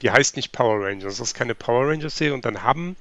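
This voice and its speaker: A man's voice: mid-range voice, animated, fast talker, a bit bright, dry recording. A man hosting a casual podcast chat, asking questions and recapping to check that he understands.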